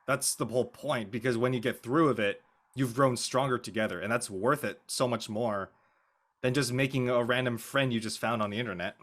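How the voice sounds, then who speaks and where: A man's voice: medium pitch, fairly quick, fairly bright tone. A man from Canada chatting casually on a panel, earnest and emphatic, stumbling slightly over his words. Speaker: panelist in an online discussion. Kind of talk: casual chat.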